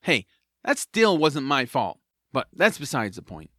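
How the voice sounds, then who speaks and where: A man playing an excitable, kind-hearted young boy hero in a family audio drama, with an earnest, playful delivery. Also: medium pitch, unhurried, no echo, clean studio sound, heavily acted. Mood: gentle, earnest.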